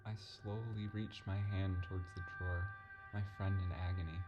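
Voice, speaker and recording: A man playing an obsessive, increasingly unhinged narrator in a deliberate, melodramatic radio drama monologue. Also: deep voice, level tone, highs cut off, natural voice. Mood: fearful suspense. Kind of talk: scripted first-person radio drama monologue. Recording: loud music under the voice. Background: music.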